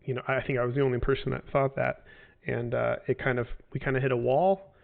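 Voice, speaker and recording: Rambling man with a gravelly, tinny sound. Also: mid-range voice, flat delivery, fast. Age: 40s. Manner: rambling, hesitant with many fillers and restarts. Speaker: game developer answering fan questions. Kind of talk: casual livestream Q&A. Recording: tinny phone-like audio.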